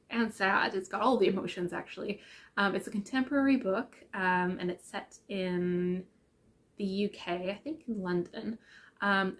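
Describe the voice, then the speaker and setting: Woman, young adult, croaky, congested, casual book vlog chat, lots of ums. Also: mid-range voice. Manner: chatty, many ums and self-corrections. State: congested, croaky from sinus infection. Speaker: book vlogger.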